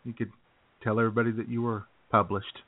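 A man's voice: lowish voice, level tone, fast. Man joking on tinny, phone-like radio audio. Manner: teasing, joking. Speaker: comedy radio show co-host. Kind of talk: casual comedic banter.

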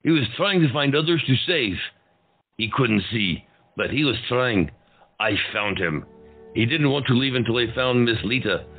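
A man's voice: medium pitch, expressive, moderate pace, narrow, tinny audio, faint music behind. An actor playing a simple, shell-shocked male patient who pleads with childlike insistence in an audio drama. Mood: anguished.

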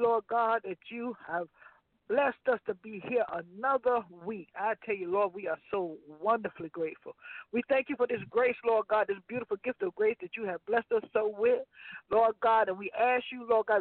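A woman's voice: fairly high pitch, no room sound. A woman co-hosting a gospel radio talk show, bantering with sassy teasing and then leading a prayer. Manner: sassy, animated, playful teasing. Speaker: co-host and evangelist. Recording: dry tinny phone-line audio.

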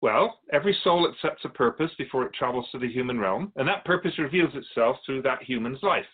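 A man playing an otherworldly, patient keeper of souls in a radio drama, speaking in measured, formal phrases with gentle questions. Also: mid-range voice, lively intonation, breathy edge. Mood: patient, explaining. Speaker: guest on a radio show. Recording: thin phone-like band-limited audio.

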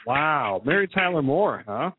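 A man's voice: fairly high pitch, very animated, unhurried, music in the background. A man in his thirties hosting a podcast, laid-back and joking, with plenty of fillers. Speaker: podcast host, doctor. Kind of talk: casual radio-style podcast hosting. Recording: thin, phone-like sound.

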